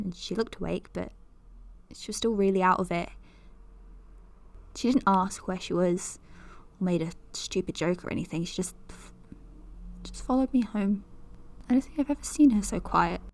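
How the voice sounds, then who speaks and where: A woman's voice: medium pitch, vocal fry, dry recording, lightly acted. An actress playing a young woman podcaster who talks in a confiding, nervous, self-deprecating way. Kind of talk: audio drama monologue.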